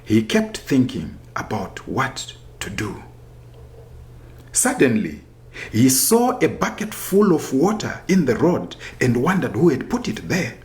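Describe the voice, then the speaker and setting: A man reading a scripted folktale as a storyteller, in a deliberate, expressive manner. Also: mid-range voice.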